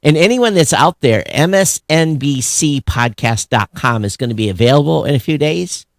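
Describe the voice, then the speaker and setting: A man's voice: fairly high pitch, animated, normal speed, vocal fry, no echo, studio quality. A middle-aged man co-hosting a podcast, chatting casually about tech news in a blunt, sarcastic way. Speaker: podcast co-host.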